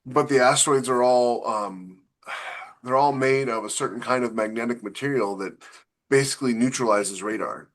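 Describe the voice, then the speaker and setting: A man's voice: medium pitch, normal speed. A man co-hosting a podcast, chatting casually and explaining things in a loose, rambling way. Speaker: podcast co-host, science fiction author. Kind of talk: casual panel chat.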